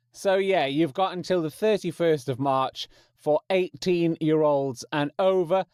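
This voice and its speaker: A man's voice: mid-range voice, darkish tone, clear, resonant tone, dry recording, clean studio sound. A man hosting a podcast, giving informal announcements in a chatty, rambling, wry and self-mocking way. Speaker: podcast host.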